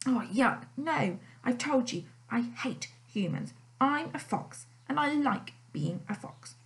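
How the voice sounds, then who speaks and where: A cocky storybook fox, a male blackmailer, growling and snapping his smug threats, as a woman puts him on. The voice rides loud and a touch high, full of swagger. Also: lively intonation, moderate pace. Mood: defiant.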